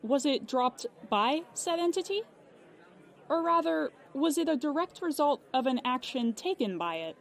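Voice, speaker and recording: A woman acting a polite, unflappable customer service agent in an audio drama, formal and measured. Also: high-pitched, expressive, vocal fry, a faint crowd. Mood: businesslike.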